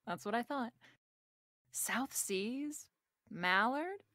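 A woman's voice: on the high side, lively intonation, drawn-out words, pushed and bright, no room sound, clean studio sound, full character voice. An actress playing a wisecracking, flirtatious female private eye with a snappy, wry manner in a radio detective drama. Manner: snappy, wry, hard-boiled. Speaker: lead actress. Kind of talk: remake of 1940s radio detective drama, with narration.

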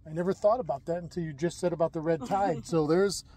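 A man's voice: on the high side, fast talker, warm tone, a little hiss or hum. A man hosting a fishing show outdoors by a lake, chatting casually and rambling with fillers and restarts. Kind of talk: casual outdoor chat and demonstration. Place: lakeside outdoors.

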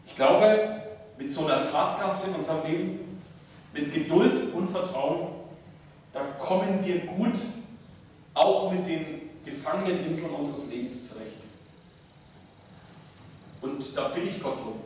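A man preaching a sermon as a pastor, in measured, deliberate phrases with pauses. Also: a little high, darkish tone. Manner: measured, deliberate, pausing between short phrases. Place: large church interior, speaking from a pulpit. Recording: echoey church, distant mic, faint noise.

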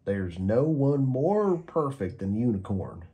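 A man's voice: mid-range voice, big pitch swings, slow, mellow, pitched above the performer's own voice. A man voicing an earnest, admiring little gecko sidekick in a children's storybook.

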